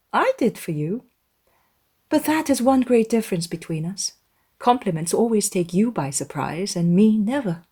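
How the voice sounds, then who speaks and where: A woman voicing a witty, confident young gentlewoman with an arch, teasing manner in a dramatized audiobook. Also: mid-range voice, husky, full character voice. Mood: playfully teasing.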